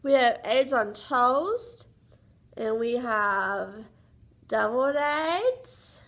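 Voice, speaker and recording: A woman's voice: a little high, expressive, extremely slow, a bit bright. A woman reading a picture book aloud to young children as a teacher would, sing-song and often prompting them with questions.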